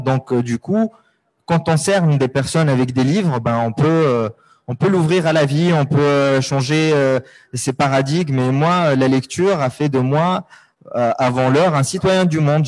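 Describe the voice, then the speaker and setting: A man's voice: mid-range voice, a little mellow. A Tunisian man, an Arabic native speaker, speaking thoughtfully on a panel with many hesitations and fillers. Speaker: writer on a panel. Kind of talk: reflective panel talk.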